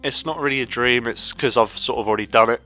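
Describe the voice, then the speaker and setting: A man's voice: mid-range voice, fast, pushed and bright, faint music behind. A nineteen-year-old man from England rambling casually about travel. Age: teens. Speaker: interviewee.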